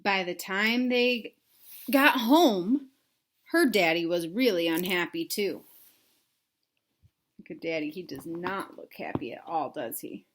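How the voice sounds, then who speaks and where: A woman reading a picture book aloud to young children, pausing to explain things simply and ask them questions. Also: fairly low pitch, animated. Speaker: read-aloud storyteller for children.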